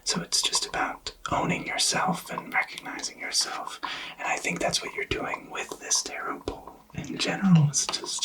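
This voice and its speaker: A man whispering an ASMR tarot reading, explaining softly and reassuringly with hesitant pauses. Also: recorded from a distance, rough recording. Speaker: tarot reader.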